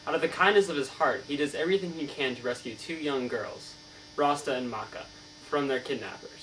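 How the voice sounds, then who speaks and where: A man's voice: medium pitch, fairly quick, a bit bright, close to an everyday voice. A man playing a learned, earnest novelist in a scripted mock interview, speaking formally as if reciting and stumbling over words now and then. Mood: earnest, explanatory. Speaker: student playing an interviewed author. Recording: distant mic, clear mains hum.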